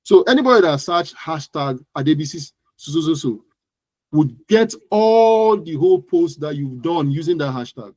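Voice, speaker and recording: Man, Nigerian accent, higher-pitched voice, very dry sound, interview guest, explanatory, emphatic, repeats key words. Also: animated, normal speed, darkish tone, no room sound. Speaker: guest digital marketing expert.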